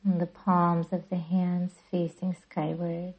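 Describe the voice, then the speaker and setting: Female meditation guide, breathy with a creaky edge, even and soothing, slightly muffled recording. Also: fairly low pitch. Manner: soothing, gentle, deliberate. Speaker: yoga nidra guide and podcast host.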